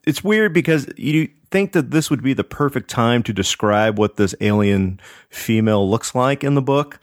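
Male podcast host, mid-pitched, jokey and slangy, casual storytelling, dry close recording. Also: expressive, normal speed, no echo.

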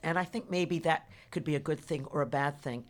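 An older woman being interviewed on a radio show, talking in an animated, emphatic way with a faintly noisy background. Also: low voice, brisk. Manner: animated, emphatic, spiritual-minded. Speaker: guest.

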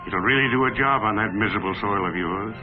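A man's voice: fairly low pitch, fast. A man giving folksy, plain-spoken promotional narration in a mid-century style. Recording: dull old promotional recording, music behind. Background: music.

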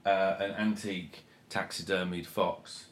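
A man in his forties co-hosting a podcast in casual chat, teasing his friend and trailing off quietly at the ends of thoughts. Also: low voice, flat delivery, a little slow. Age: 40s. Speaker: podcast co-host.

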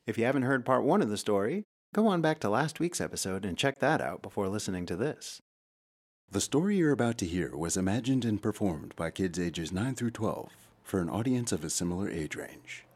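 A man's voice: lowish voice, very animated, a little fast, a little mellow, slightly rough. A man hosting and narrating a children's story podcast in a scripted presenting style. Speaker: host and narrator. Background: prominent guitar music.

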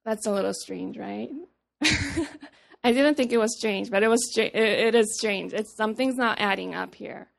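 Earnest woman with a gravelly edge. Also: medium pitch, a little slow. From Papua New Guinea. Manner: earnest, conversational, restarts and acts out dialogue. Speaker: speaker sharing a testimony. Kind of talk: personal testimony talk.

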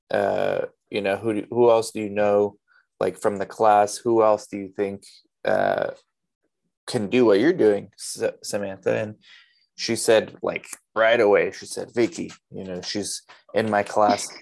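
A man's voice: on the low side, vocal fry. A man hosting a casual interview in an informal, chatty way. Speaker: podcast host.

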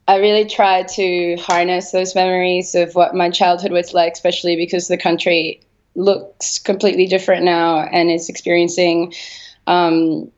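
A woman's voice: fairly low pitch, a little mellow. A woman from Venezuela, an artist, giving casual, reflective answers in an interview and feeling her way through her thoughts. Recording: slightly dull, lo-fi sound.

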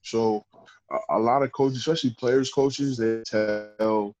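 A man, a professional football player, telling stories in a casual interview with a deep voice and a loose, rambling way of talking. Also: fairly even intonation, a little slow, a little mellow, no room sound, faint music behind, poor audio. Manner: laid-back, rambling with restarts. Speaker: pro football player guest.

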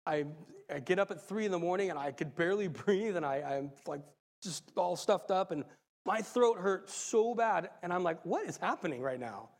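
A man preaching a sermon, a little raspy and hoarse from a cold, excitable and laughing as he talks. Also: a little high, big-room sound. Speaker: lay preacher.

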